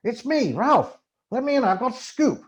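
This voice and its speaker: A man voicing an excitable, earnest journalist in an audiobook, eager and pleading. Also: extremely high pitch, moderate pace, voice pushed up.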